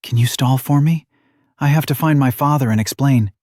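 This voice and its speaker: A man's voice: medium pitch, normal speed, a little mellow, no echo, clean studio sound, pitched above the performer's own voice, natural voice. A man voicing an earnest, headstrong young noblewoman with an anxious edge in an audiobook. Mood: hurried. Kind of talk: audiobook character acting.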